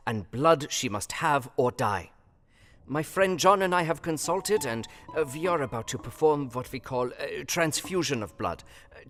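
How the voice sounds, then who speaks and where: Emphatic older professor, swooping pitch. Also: male voice, a bit bright. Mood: grave. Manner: emphatic, solemn, quaint broken English. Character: kindly, urgent older foreign professor and doctor. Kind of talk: audio drama acting. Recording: long hall reverb over music.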